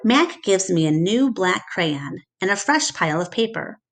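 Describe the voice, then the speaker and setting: A woman reading a children's story aloud as expressive narration. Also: mid-range voice, normal speed.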